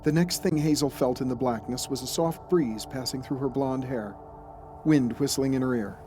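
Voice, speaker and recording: A man's voice: mid-range voice, normal speed, dark tone. Male narrator of a scripted horror story. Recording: faint background ambience noise.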